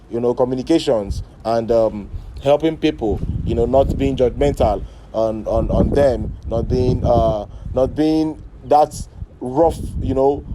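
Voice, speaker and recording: A man with a Nigerian accent giving a thoughtful interview answer, pausing often with fillers. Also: mid-range voice, noisy background. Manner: thoughtful, hesitant with many fillers. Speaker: artist contributor.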